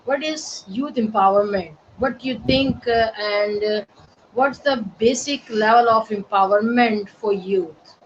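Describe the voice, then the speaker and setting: A woman hosts an online interview, thanking her guest often and working through her questions in an unhurried, halting way. Her pitch is ordinary and her speech is very slow. The sound is muffled call audio with a faint hiss underneath. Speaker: host.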